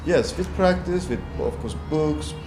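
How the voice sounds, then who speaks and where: A man in his forties from Cyprus, a property developer, answering interview questions in a measured, hesitant way with many fillers. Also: fairly high pitch. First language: Turkish. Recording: roomy echo with background music.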